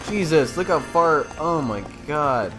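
Male gamer for walkthrough narration: unhurried, playful, slangy, mid-pitched, game music behind him. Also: expressive, low-quality recording. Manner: playful, slangy, swearing, exclaiming. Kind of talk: casual gameplay commentary. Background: game music and vehicle sounds.

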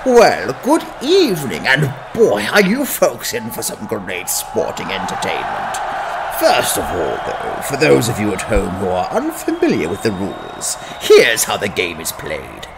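Man playing a jovial, wildly animated sports commentator. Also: on the low side, measured pace, creaky, background noise, heavily acted. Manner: folksy, showman-like, theatrical. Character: jovial male sports commentator explaining brutal fantasy game. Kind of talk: parody sports broadcast.

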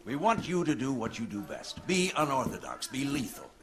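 An actor playing a hard-nosed middle-aged commander giving a terse, emphatic briefing in a war game. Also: male voice, medium pitch, sing-song, normal speed. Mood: stern, persuasive. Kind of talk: military briefing in game cutscene. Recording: clear noise behind the voice.

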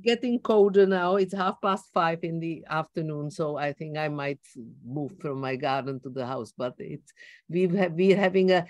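A woman's voice: low-pitched, moderate pace, darkish tone, no echo, muffled recording. Older Czech-accented woman, dry close sound. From Czech Republic. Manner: chatty, wry, with frequent restarts. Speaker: meeting participant. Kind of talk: casual video call introduction. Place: outdoor garden. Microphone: laptop on a video call.

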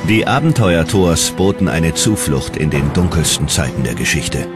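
Documentary narrator, male, low voice, measured dramatic scripted read over prominent music. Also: expressive. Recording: loud music under the voice. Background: loud background music.

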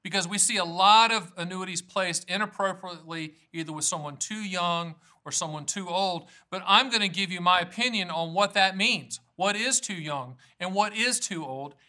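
Male, American, informal video presenter: high, very forceful voice, emphatic and down-to-earth delivery. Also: moderate pace, hardly any pauses. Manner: emphatic, folksy, plain-spoken. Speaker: annuity agent hosting an educational video series. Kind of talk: informal explainer presentation to camera.

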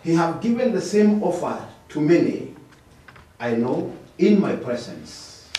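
An actor playing a defensive middle-aged accused man testifying in a TV courtroom drama, halting and deliberate, with a Kenyan accent. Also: a little high, sing-song, mellow. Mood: defensive, earnest. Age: 50s. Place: indoor courtroom set. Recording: echoey room, distant mic, faint noise.

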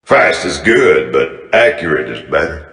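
An actor playing a cocky, menacing Old West gunslinger with a Southern American cowboy drawl, delivering theatrical video game lines. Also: male voice, a bass voice, very animated, unhurried, fairly bright tone, roomy sound, big-room sound, heavily acted. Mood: cocky menace.

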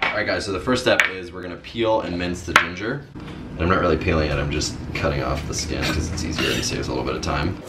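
A man's voice: low voice, fast talker. A young man cooking in his home kitchen, casually explaining each recipe step on a vlog. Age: young adult. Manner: easygoing, step-by-step instructing. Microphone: camera mic. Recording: roomy kitchen with background noise.

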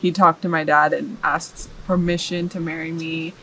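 A woman's voice: low voice, level tone, moderate pace, pushed and bright, a little hiss or hum, poor audio. A young woman from the United States, telling her story reflectively as an interview guest outdoors. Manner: reflective, searching for words. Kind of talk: casual interview chat. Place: outdoors in a rural yard. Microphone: camera mic, seated mid shot.

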